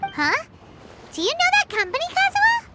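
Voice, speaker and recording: A small childlike fairy sidekick for a video game. The voice is squeaky and sky-high, bouncing all over in pitch. The delivery is eager, chatty and full of exclamations, over prominent music. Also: female voice, huge pitch swings, moderate pace, heavily acted. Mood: curious. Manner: refers to herself in third person, exclamatory. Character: small chirpy childlike female fairy companion, eager and talkative.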